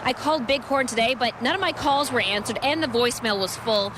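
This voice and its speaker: A woman's voice: on the high side, brisk, background noise. A woman with an American accent giving a live television news report in a reporter's structured delivery.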